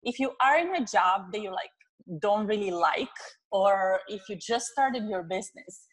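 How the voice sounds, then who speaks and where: Upbeat romanian accent woman, career coach guest, talks fast in bursts, medium pitch. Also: animated, poor audio. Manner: chatty and upbeat, with quick bursts. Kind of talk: interview guest, casual chat.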